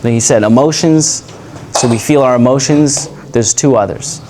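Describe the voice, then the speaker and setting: Relaxed male coach leading a workshop. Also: medium pitch, moderate pace, background noise. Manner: relaxed, rambling, self-correcting. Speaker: communication coach. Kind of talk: live workshop talk.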